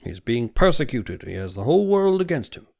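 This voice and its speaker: A man's voice: big pitch swings, brisk, gravelly, darkish tone. A man voicing a huge, domineering older doctor in an audiobook, heavy-voiced, emphatic and insistent. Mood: intense, insistent. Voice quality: heavy, booming. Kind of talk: audiobook character dialogue.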